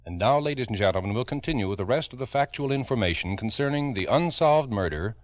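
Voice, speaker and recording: A man narrating a scripted 1950s radio drama in a grave, deliberate storytelling manner on an old, dull recording. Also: mid-range voice, animated, dry recording. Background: dramatic music.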